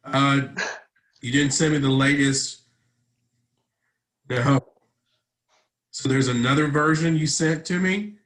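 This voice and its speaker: A man's voice: mid-range voice, steady tone, slow, pauses often, a bit bright, roomy sound, low-quality recording. A man taking part in a script table read, talking casually and politely.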